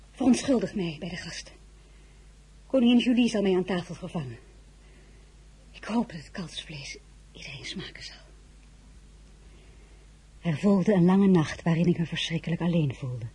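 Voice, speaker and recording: Very breathy, mellow, emotional royal wife. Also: female voice, fairly low pitch, moderate pace, pauses often. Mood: anxious, commanding. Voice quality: soft, breathy. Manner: intimate, hushed, feeling-laden. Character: tender, emotional royal wife, proud and candid. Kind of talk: audio drama acting with first-person narration.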